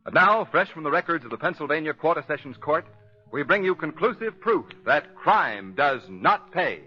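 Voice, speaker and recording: Male American announcer: slightly high voice, formal scripted read, faint music, muffled old radio. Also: sing-song, normal speed. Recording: dull 1940s radio recording, music behind.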